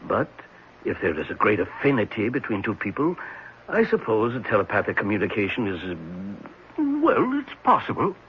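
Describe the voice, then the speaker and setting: A man playing a thoughtful, assured psychiatrist in a 1970s radio drama, explaining things in a measured, reflective way with some hesitation. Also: fairly low pitch, wildly swooping pitch. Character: thoughtful, assured male psychiatrist at a private clinic. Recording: muffled, noisy 1970s radio recording.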